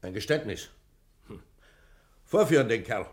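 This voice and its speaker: A man acts a brusque prison governor in a vintage radio drama. His mid-pitched voice jumps sharply up and down as he barks impatiently. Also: expressive, normal speed, lightly acted. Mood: gruff. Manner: barking, impatient. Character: brusque, impatient, authoritative male prison director. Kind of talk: 1960 German radio play acting.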